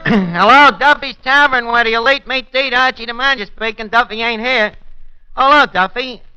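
An actor playing a wisecracking working-class tavern manager with a New York accent, slangy and ungrammatical, in 1940s radio comedy style. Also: male voice, very high-pitched, moderate pace, music in the background. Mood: brash, chatty. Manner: slangy, ungrammatical, hesitant phone patter. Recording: dull, lo-fi vintage radio recording.

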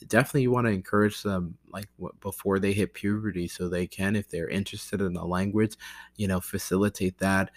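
An American man talking casually to camera in a rambling, halting way, often interrupting himself with "like" and "you know". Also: low-pitched, no room sound. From United States. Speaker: language learner vlogger.

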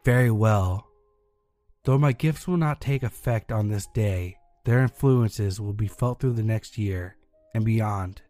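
A man voicing a thin, sinister male Christmas monster with a hissing, snake-like voice, louder than his narration. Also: fairly low pitch, a little slow, no echo, soft background music, close to an everyday voice. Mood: menacing.

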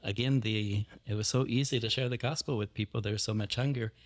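A man's voice: fairly low pitch, steady tone, brisk. A man who plants churches as a missionary, telling his story in a podcast interview with many fillers and restarts.

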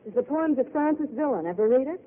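An actress playing an earnest, outspoken young waitress with big dreams, heard on a tinny old radio broadcast. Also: female voice, high voice, expressive, fast talker. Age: young adult. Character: dreamy, earnest young woman at a desert diner. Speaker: diner waitress. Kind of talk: 1930s radio drama acting.

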